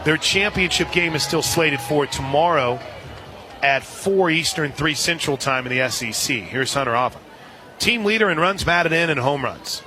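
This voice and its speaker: A man calling softball play-by-play on the radio, conversationally filling in scores and details between pitches. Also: animated, moderate pace. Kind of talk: live softball radio commentary. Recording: outdoor stadium crowd behind the voice. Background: crowd noise.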